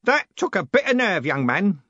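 A man voicing a loud, blunt, hearty old male merchant who bellows every line. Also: very animated, normal speed, no room sound, lo-fi sound, heavily acted. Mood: booming hearty. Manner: bellowing, booming.

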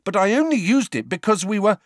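A man's voice: on the high side, very animated, a little slow, a bit bright, no echo, clean studio sound, pitched above the performer's own voice, heavily acted. A man voicing an impatient, defensive fifteen-year-old schoolboy wizard, speaking in an urgent, insistent way. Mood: angry, defensive.

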